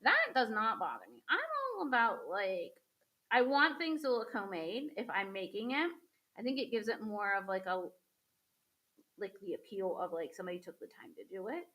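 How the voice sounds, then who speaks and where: A woman's voice: mid-range voice, lively intonation, normal speed, sharp tone. A woman hosting a crafting demo, chatting casually to viewers as she paints, a little sniffly from a cold. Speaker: craft host.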